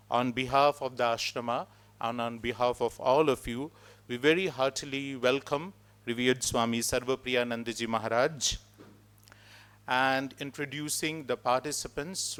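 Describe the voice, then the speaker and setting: A man, hosting a spiritual retreat and giving a loud, measured, ceremonious introduction of the guest speaker in an ashram hall. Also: medium pitch, clean tone. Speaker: host of the retreat.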